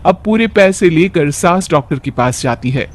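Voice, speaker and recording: A man's voice: fairly high pitch, expressive, moderate pace. A man narrating a scripted moral bedtime story as a storyteller.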